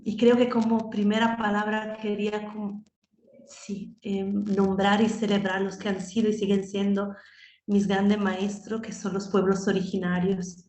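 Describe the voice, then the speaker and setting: A woman's voice: mid-range voice, flat delivery, unhurried, darkish tone, reverberant. A woman from Italy, a nonviolent communication trainer, answering interview questions thoughtfully, with frequent fillers. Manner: thoughtful, many "pues" and "¿no?" fillers.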